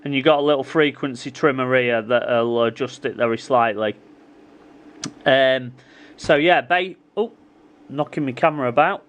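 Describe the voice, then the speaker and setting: A man's voice: mid-range voice, normal speed, fairly bright tone. A man giving a casual hands-on repair tutorial, informally addressing viewers and thinking aloud as he works. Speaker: repair hobbyist presenting his own video.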